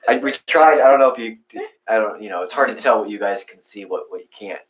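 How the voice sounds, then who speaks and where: A man hosting in casual, rambling chat, often restarting and restating his thoughts in a halting way. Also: fairly low pitch, a little hiss or hum. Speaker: host of live guided meditation sessions. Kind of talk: casual chat with viewers and co-host. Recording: dull, muffled home livestream audio.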